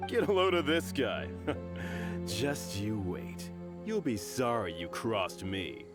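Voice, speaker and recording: A man's voice: fairly low pitch, very animated, slow pace. An actor playing a menacing, mocking henchman in a video game, with a drawling, threatening delivery. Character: sneering menacing male loan-shark henchman, mocking bully. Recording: music under the voice.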